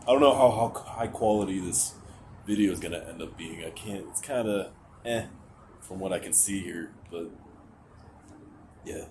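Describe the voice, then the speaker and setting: A young man with a deep voice venting to his camera, hesitant and rambling, anxious, with casual swearing and sighs. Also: moderate pace, pauses often. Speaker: vlogger. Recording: noticeable background hiss.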